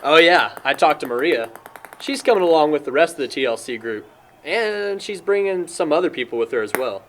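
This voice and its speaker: A man's voice: on the high side, lively intonation, fairly quick, a bit bright, slight background noise. A man playing an easygoing young party host who jokes and gossips in an amateur audio drama. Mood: chatty.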